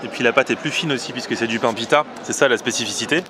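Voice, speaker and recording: A man's voice: medium pitch, fast, noisy background. A man talking casually and informally to camera as a vlog host, explaining things as he goes. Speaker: food vlogger and host. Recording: loud music under the voice.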